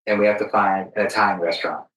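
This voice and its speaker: A man's voice: on the low side, fairly even intonation, fast talker, a bit bright, far from the mic. A man hosting a podcast in casual conversation, talking in an informal, chatty way. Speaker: podcast host. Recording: roomy echo, off the mic.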